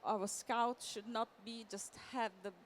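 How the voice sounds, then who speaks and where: A woman giving a semi-improvised conference presentation as a literary translator, halting and often repeating words as she searches for phrasing. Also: fairly high pitch, fairly even intonation. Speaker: literary translator, panel speaker. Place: live talk at a book fair event. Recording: clear background noise.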